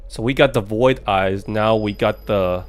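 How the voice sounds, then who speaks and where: A man's voice: lowish voice, a little slow, clear, resonant tone. A man streaming a game with casual commentary, musing aloud and chatting with his viewers. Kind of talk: casual let's play commentary.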